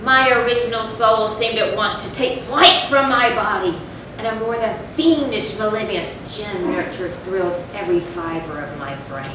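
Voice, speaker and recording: Tormented confessor in gothic readers theatre, played by a woman: formal, deliberate delivery, distant from the mic with heavy background noise. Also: mid-range voice, lightly acted. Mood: horrified, intense. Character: tormented, confessing animal lover turned cruel drunkard. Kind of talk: dramatic reading of gothic horror story. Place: large echoey room, far from the mic. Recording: very echoey, distant, noisy live hall recording.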